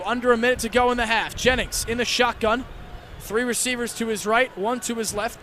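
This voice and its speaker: A young man delivers live football radio play-by-play in a strikingly high-pitched voice. His phrasing is clipped and urgent, built to rise into excited calls, over clearly audible stadium noise. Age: young adult. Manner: clipped, rapid phrases rising into excited shouts. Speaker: student play-by-play broadcaster. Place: football stadium broadcast position.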